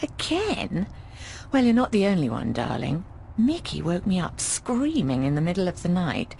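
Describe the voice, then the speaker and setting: An actress voicing a breezy, affectionate, bawdy landlady in a video game, chatting in an expressive, teasing way. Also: on the low side, normal speed, vocal fry, slight background noise. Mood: affectionate, concerned. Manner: expressive, teasing, calls people darling. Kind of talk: conversational video game voice acting.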